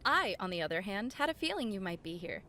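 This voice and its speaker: A woman's voice: mid-range voice, very animated, fast talker, bright, forceful tone, slight background noise. A woman playing a friendly, earnest young heroine in a fan dub of an anime-style game. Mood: curious, teasing. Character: friendly, earnest young heroine Skuld, a serious rule-follower. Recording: faint music behind.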